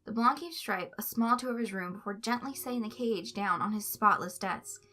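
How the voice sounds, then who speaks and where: A woman narrating a story aloud in an expressive, scripted reading style. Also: mid-range voice, normal speed. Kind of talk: expressive fan fiction reading.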